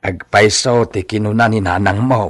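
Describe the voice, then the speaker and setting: An actor with a Filipino Ilocano accent playing a cautious, deliberate father of a grown daughter in a radio drama. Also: fairly low pitch, steady tone, close to an everyday voice. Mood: cautious, hesitant.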